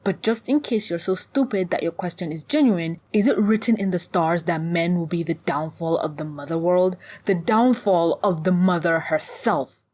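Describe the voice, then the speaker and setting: A venomous old hag of a cult leader, acted out by a woman narrator, spits out pompous doctrine for a fantasy audiobook. She sounds fanatical and preachy, pitched somewhat above the narration. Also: animated, unhurried, a little mellow. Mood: menacing.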